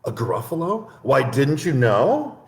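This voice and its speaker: A man's voice: very animated, measured pace. A man voicing a small, clever brown mouse from a storybook, in a raised voice. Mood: bold. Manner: bright storybook voice, raised pitch. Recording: echoey room.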